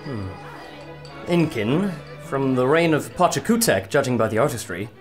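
Man as a courteous, animated scholarly archaeologist. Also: medium pitch, moderate pace, soft background music, natural voice. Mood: polite. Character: earnest, polite scholarly archaeologist. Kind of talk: audio adventure drama acting.

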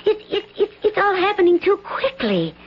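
A woman's voice: very high voice, sing-song, moderate pace, slight background noise. An actress playing a worried, stammering female friend in a 1970s radio drama. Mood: anxious. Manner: anxious, stammering, pleading. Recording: muffled old radio broadcast.

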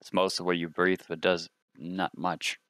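A man with a deep voice plays in a tabletop roleplaying game. He talks casually and matter-of-factly, in laconic remarks. The sound is close and dry. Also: level tone, normal speed, a bit bright, dry recording. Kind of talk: casual in-character table talk.

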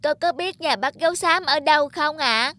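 A woman's voice: high voice, normal speed, pushed and bright, no room sound, voice pushed up. A woman voicing a kind, determined young male puppy in a children's cartoon. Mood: helpful, polite. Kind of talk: children's cartoon storytelling dub.

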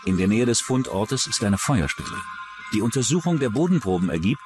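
A male documentary narrator with a low voice delivers scripted true-crime narration. Music plays clearly behind him throughout. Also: sing-song, fairly quick.

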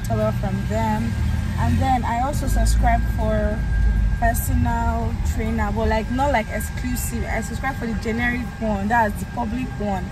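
A woman's voice: a little high, steady tone, normal speed, heavy background noise. A young Nigerian woman vlogging from a car on a bumpy road, chatty and self-mocking, talking into a phone held at arm's length. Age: 20s. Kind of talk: casual chatty vlog to camera. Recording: distant phone audio under loud background music.

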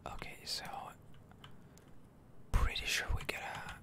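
A gamer whispering ASMR commentary over gameplay, close to the microphone, musing in an unhurried, hesitant way.

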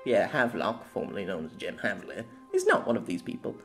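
A man's voice: on the low side, wildly swooping pitch, brisk, soft background music. A man giving a scripted narration of a fantasy story.

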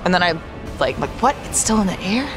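A woman's voice: fairly low pitch, very animated, fast talker, rough recording. A woman casually narrating her character's actions as a player in a tabletop roleplaying game. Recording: background music under voice.

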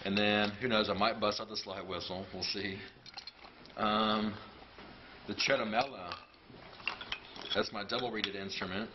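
A man's voice: fairly low pitch, fairly even intonation, a little slow, pauses often. A man in his thirties, a clarinetist, chatting playfully and loosely about his instruments in a large rehearsal room, picked up by a camera mic. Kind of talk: casual explanation of his instruments. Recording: dull lo-fi sound with noise.

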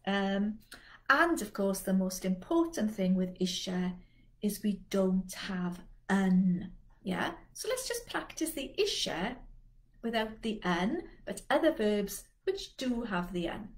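A South Welsh woman in her sixties teaching an online language lesson from home, patiently repeating phrases slowly for learners. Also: medium pitch, big pitch swings. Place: home dining room with dresser. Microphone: laptop webcam video call.

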